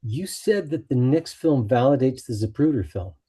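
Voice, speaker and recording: A man playing a quiet, doubtful audience member in a screenplay table read, speaking in a hesitant, questioning way. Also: mid-range voice, animated, normal speed, slightly rough.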